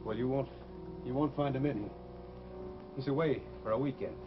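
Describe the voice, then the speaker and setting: An actor playing a vain fugitive killer with smooth manners and underlying menace, in a formal, imperious old radio drama style. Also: male voice, mid-range voice, normal speed, natural voice. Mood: brusque. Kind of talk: 1940s radio drama acting. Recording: muffled, noisy old radio recording.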